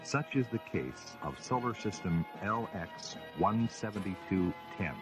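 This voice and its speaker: A man playing a solemn, portentous storyteller, narrating an audio drama in a measured, dramatic way. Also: lowish voice, lively intonation, over music. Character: grave, portentous male storyteller of space epics.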